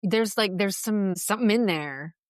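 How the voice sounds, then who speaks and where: A woman's voice: mid-range voice, normal speed, dry recording, clean studio sound. A woman hosting a podcast, asking a question hesitantly while searching for her words. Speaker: podcast host. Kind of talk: casual interview questions.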